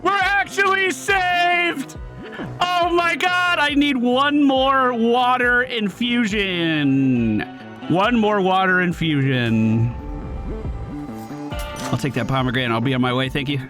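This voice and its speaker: A young man commentating his own video game run, excitable and quick to hype big moments. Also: extremely high pitch. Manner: excitable, shouts and hypes when things go well. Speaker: gaming streamer. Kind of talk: let's play commentary. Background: game music.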